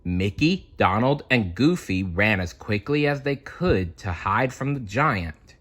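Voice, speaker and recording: Male storyteller, children's read-aloud, very lively pitch, slightly husky, bright, playful, dramatic. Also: measured pace.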